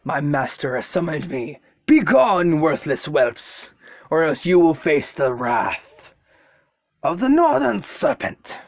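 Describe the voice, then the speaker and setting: An amateur narrator voicing an ancient male serpent spirit, speaking slowly, low and grave, in a spoken fan story. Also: very animated, darkish tone, no room sound. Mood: menacing.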